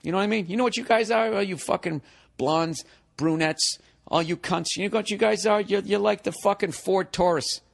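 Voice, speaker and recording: A man's voice: high-pitched, brisk, creaky. A man in his forties, a podcast host reading a listener email and ranting sarcastically and profanely about it. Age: 40s. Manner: mocking, exasperated. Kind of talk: comedic podcast rant.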